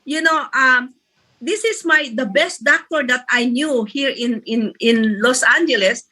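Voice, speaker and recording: A woman's voice: high voice, measured pace, pushed and bright. A woman in her sixties hosting an online group session, chatty and rambling, laughing often. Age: 60s. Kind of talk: casual chat.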